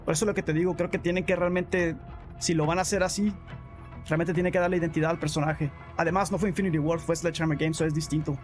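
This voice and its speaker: A man's voice: on the high side, steady tone, fast, soft background music. A man streaming live, answering chat in a casual way, calling viewers bro and often restarting his sentences. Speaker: gaming streamer.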